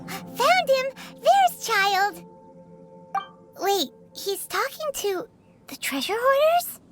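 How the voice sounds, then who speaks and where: A woman voicing a tiny, childlike, chatty fairy companion in a video game, with a squeaky voice and exclamatory manner. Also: sing-song, very slow, music in the background, heavily acted. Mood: upbeat. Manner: refers to self in third person, exclamatory. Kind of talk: video game character acting.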